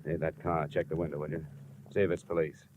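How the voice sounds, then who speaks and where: Deep-voiced, dogged 1950s radio detective. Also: male voice, level tone, fast, noisy background. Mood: brisk, urgent. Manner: clipped, pressing, matter-of-fact questioning. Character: dogged, terse male insurance investigator and narrator. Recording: muffled old radio recording with music.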